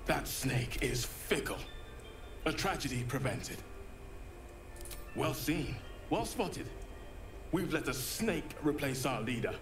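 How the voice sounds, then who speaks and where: A man's voice: medium pitch, expressive, slow, long pauses. An actor playing a bitter man, a druid of the grove, who speaks in a grim, clipped way, from a video game. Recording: echoey game audio with music.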